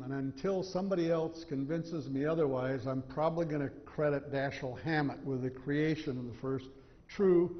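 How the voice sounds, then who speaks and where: A man with an American accent giving an informative talk to an audience, with hesitant delivery and dry humour. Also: on the high side. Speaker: author giving convention talk. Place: convention hall. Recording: slightly muffled hall recording, long reverb.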